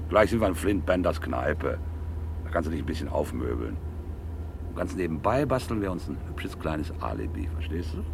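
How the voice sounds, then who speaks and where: A domineering, scheming man in a radio crime drama. His low voice is gravelly and moves widely as he commands and persuades, with clear vehicle noise behind him. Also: heavily acted. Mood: coaxing, sly. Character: domineering, scheming male driver, callous boss covering up an accident.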